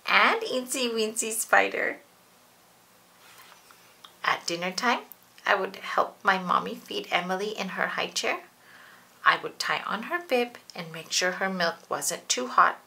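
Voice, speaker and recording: A woman reading a children's picture book aloud, expressive and animated. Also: medium pitch, creaky. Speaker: storybook reader.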